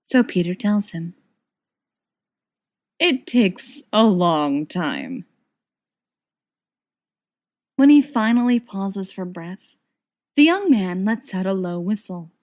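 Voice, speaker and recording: Woman reading fiction out loud, audiobook style, medium pitch. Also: big pitch swings, takes it slowly. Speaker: audiobook reader. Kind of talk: scripted story narration.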